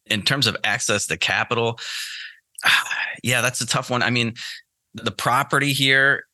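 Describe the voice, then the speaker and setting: An American man talking casually as an interview guest, thinking aloud in a halting way. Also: mid-range voice, bright, forceful tone, no room sound. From United States. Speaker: podcast guest, trader.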